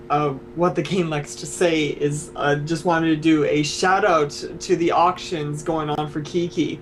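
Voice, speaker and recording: A man's voice: on the high side, slight background noise. A man co-hosting a casual podcast, reading out listener questions with stumbles and giggles.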